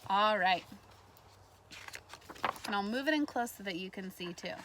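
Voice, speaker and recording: A woman teacher reading a storybook aloud to kindergartners in an animated way, pausing to put questions to her listeners. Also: mid-range voice. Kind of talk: read-aloud lesson for young children. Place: outdoors in a backyard tree fort among trees. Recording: faint outdoor ambient noise.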